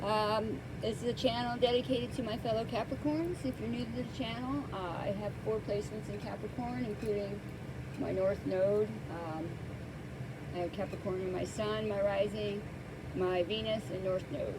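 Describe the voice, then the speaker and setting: A woman hosting a casual tarot card reading, soft-spoken and hesitant, with many pauses and fillers, close to the microphone. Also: medium pitch, fairly even intonation, very noisy.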